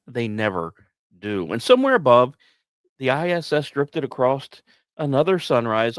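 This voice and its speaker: A man's voice: medium pitch, no room sound. A man hosting a podcast, reading scripted space news like a dramatic story with conversational asides. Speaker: podcast host.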